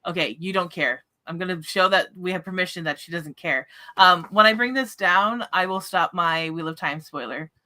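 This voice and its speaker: A woman hosting a casual book discussion livestream, chatting in an animated way. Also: on the low side, normal speed, few pauses.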